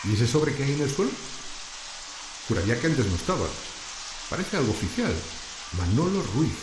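An actor playing a flustered middle-aged worker who talks excitedly to himself in a radio drama. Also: male voice, mid-range voice, normal speed, reverberant, very noisy, close to an everyday voice. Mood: suspicious.

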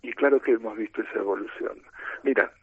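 A middle-aged man with an Argentine accent, a team coach explaining his ideas in a measured, lecturing way in a radio interview. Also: fairly low pitch, slightly rough, dry recording. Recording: tinny phone line.

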